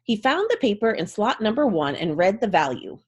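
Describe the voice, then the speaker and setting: A woman's voice: medium pitch, moderate pace, slightly rough. A woman giving a dramatic, theatrical storybook reading as narrator. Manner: theatrical, expressive.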